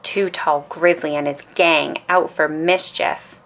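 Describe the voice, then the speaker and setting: A woman reading a children's story aloud in an expressive bedtime storytelling style. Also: deep voice, slow.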